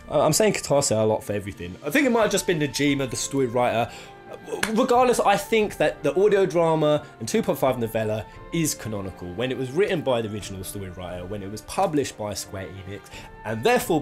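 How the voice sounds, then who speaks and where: A male commentator talks in a casual, opinionated way, with a medium-pitched voice that moves up and down a lot. He rambles and leans hard on points, swearing mildly. Instrumental music plays behind him. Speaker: video host, game commentator.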